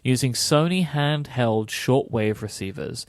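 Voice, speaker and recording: A man's voice: medium pitch, unhurried, dry recording. A man co-hosting a podcast, reading scripted narration with some casual asides into a close mic. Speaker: podcast co-host.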